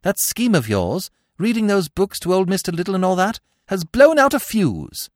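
A man voicing an excitable, dithering young upper-class English gentleman in an audiobook, flustered and rambling in old-fashioned slang. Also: sits high, moderate pace, no echo, studio quality, voice pushed up. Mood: glum, agitated. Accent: British upper-class.

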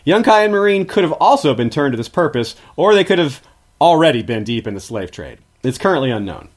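A man's voice: fairly high pitch, big pitch swings, brisk. A man speaking as a guest history expert, giving scripted, articulate explanations in an informative lecturing manner.

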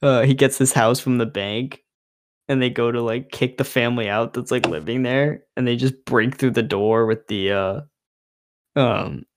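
A man's voice: mid-range voice, fairly even intonation, normal speed, a little hiss or hum. A man in a casual podcast chat with a friend, telling loose, rambling stories full of fillers, laughter and swearing. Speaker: podcast co-host.

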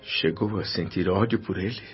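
A Brazilian actor playing a kind, remorseful assistant in a radio drama, speaking gently and earnestly with some halting emotion. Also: male voice, low voice, breathy edge. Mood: gentle, concerned. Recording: dull lo-fi radio drama recording. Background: soft music.

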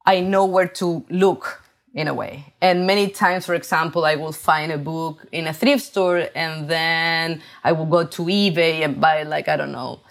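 Chilean-accented woman in her forties, low voice, unhurried halting artist interview. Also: steady tone, gravelly. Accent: Chilean Spanish. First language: Spanish. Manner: halting, many fillers and restarts. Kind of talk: interview monologue about her art.

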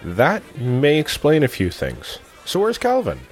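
Guy as nerdy trivia buff, animated delivery, comedy audio drama, faint music under it. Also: fairly high pitch, unhurried. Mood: quizzical. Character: geeky, knowledgeable male theme-park trivia buff.

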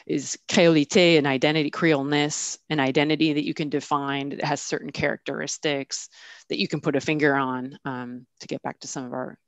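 A woman's voice: sits low, steady tone, a little fast, no room sound. A woman, a literary scholar, talking through ideas in an academic discussion, thoughtful and full of restarts. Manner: thoughtful, many restarts and "I mean".

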